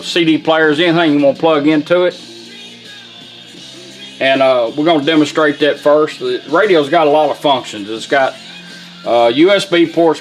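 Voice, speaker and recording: A man with a Southern US accent giving a casual, plain-spoken, unhurried product demonstration as a radio restorer. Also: a little high.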